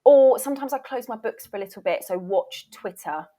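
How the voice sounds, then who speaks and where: A woman's voice: fairly high pitch, animated, a little fast, warm tone. A woman talking casually as an interview guest, explaining things in a candid, emphatic way and sometimes interrupting herself. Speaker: voice reel producer, interview guest.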